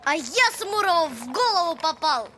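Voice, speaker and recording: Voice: extremely high pitch, very animated, normal speed, pushed and bright, a little hiss or hum, exaggerated voice. A performer playing a small, spiteful nine-year-old schoolboy in an audio drama, shrilly defiant and taunting.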